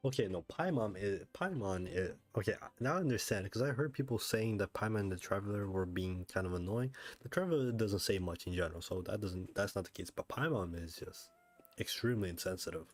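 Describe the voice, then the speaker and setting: A man's voice: fairly low pitch, brisk. A man giving casual, rambling, sweary streamer reactions while playing a video game. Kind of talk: casual reaction commentary over gameplay. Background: faint game music.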